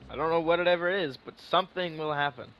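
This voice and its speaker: A man's voice: a little high, quick pace, a bit bright, slight background noise, low-quality recording. A man giving loose, rambling playthrough commentary as a game streamer, casual and given to swearing. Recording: faint music behind the voice. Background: game music.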